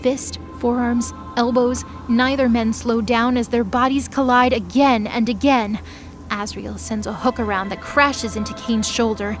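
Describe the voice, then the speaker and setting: High-voiced woman narrating a drama. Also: fairly even intonation, measured pace, soft background music.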